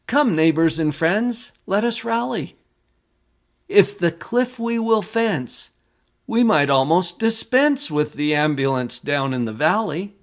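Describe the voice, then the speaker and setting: A man's voice: high voice, expressive, takes it slowly, exaggerated voice. A man voicing an earnest old sage in a poem, exhorting his neighbors in rhetorical style. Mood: rallying. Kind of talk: dramatic poetry recitation.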